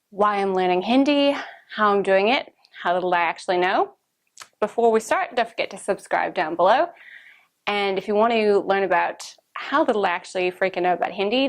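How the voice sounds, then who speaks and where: A woman's voice: mid-range voice, animated, normal speed. Chatty Canadian woman vlogging. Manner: chatty, rambling, many fillers. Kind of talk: casual chat to camera.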